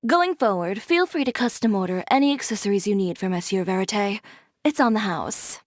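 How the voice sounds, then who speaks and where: An actress playing a stern, self-assured young fashion designer in a video game, composed and blunt. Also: female voice, mid-range voice, expressive, a little fast, no room sound, clean studio sound, close to an everyday voice. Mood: calm, dry.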